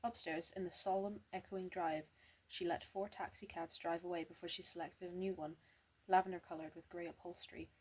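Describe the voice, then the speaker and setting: A woman's voice: lowish voice, fairly even intonation, brisk, darkish tone, slightly rough, rough recording. A young woman reading a novel aloud, often stumbling and restarting words because of a slight stammer. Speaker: amateur reader.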